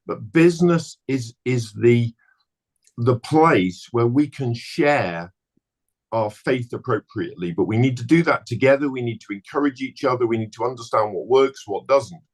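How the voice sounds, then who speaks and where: A man delivers a passionate, emphatic appeal to his audience. His voice sits at an ordinary mid pitch. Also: moderate pace. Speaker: business speaker. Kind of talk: passionate exhortation at an online seminar.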